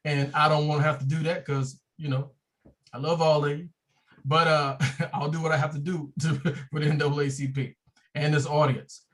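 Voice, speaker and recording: A man's voice: a little high, level tone, moderate pace. A man who is a branch president, hosting a virtual meeting in a loose, joking way. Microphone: video call.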